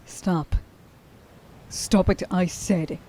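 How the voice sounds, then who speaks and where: A woman playing a stern, grieving huntress in a dramatic ASMR roleplay monologue, halting and intense in her delivery. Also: fairly low pitch, steady tone, mellow, slight background noise. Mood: angry, agitated. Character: stern, grieving young huntress who hates werewolves.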